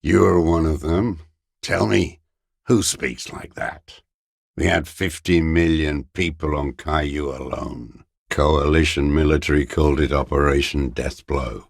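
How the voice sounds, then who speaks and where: An embittered old male revolutionary, the last defender of a lost cause. His voice is extremely low and full of gravel, and he speaks slowly. He declaims like a haunted video game ideologue, proud and accusing. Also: steady tone, no room sound, studio quality, heavily acted. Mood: bitter, accusing. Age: older. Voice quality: gravelly, raspy, worn low voice. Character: bitter old communist deserter, last defender of a fallen commune. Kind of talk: dramatic video game voice acting.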